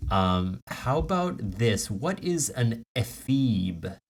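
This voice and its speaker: A man's voice: medium pitch, big pitch swings, slow pace. A man hosting a casual comedy podcast quiz, playfully teasing the others as he goes. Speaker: comedy podcast host and quizmaster.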